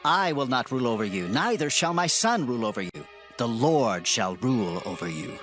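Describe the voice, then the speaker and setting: An actor playing a stern, vengeful ancient Israelite war leader in a dramatized scripture reading, with solemn declamatory delivery. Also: male voice, mid-range voice, sing-song, moderate pace, fairly bright tone, soft background music. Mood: firm, solemn. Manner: declamatory, solemn archaic speech.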